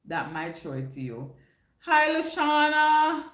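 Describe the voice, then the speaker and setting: A woman's voice: sits high, very animated, slow pace, fairly bright tone. A woman with a Jamaican accent hosting a casual livestream, chatting through viewers' questions and slipping into patois phrasing. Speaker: livestream host answering chat. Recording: roomy echo.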